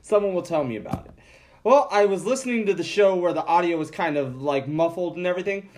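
A man co-hosting a podcast in casual chat, with a nasal voice and an excitable manner, swearing and calling people bro. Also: sits high, fast, a little hiss or hum. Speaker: podcast co-host.

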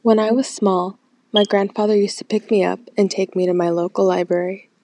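A teenage girl reads a reflection in a gentle, reminiscing way. Her tone is soft and mellow, and her pitch is middling. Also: flat delivery, fairly quick. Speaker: student.

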